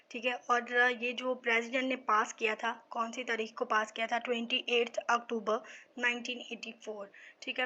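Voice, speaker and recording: A woman's voice: on the high side, pushed and bright. A Pakistani woman giving a methodical explanatory law lecture for exam students, often checking in with a short tag phrase. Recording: dull lo-fi microphone sound.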